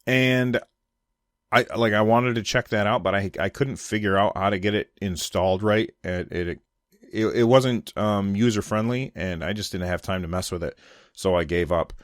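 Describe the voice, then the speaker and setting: A man's voice: lowish voice, moderate pace, dry recording, studio quality. An American man giving casual, unscripted solo commentary on gaming news, thinking aloud in loose conversational phrases. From United States.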